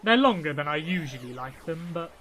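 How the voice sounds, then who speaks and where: Woman as a lowish-voiced, bewildered space traveller. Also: animated, a little fast, fairly bright tone. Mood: bewildered, dry. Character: sceptical, bewildered, polite reluctant space traveller. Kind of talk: comic sci-fi audio drama acting.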